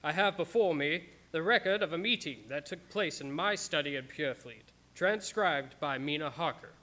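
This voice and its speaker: An actor playing an earnest, haunted doctor, narrating his grim diary in a formal, measured, theatrical way for a radio play. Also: on the high side, expressive, sharp tone. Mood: formal, composed.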